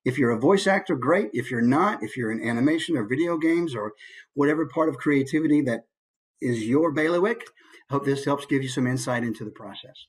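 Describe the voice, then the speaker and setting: A man giving an informal online presentation in an easygoing, conversational way, with hesitant pauses as he goes. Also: medium pitch, husky. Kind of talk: informal online talk with slides. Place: online video call.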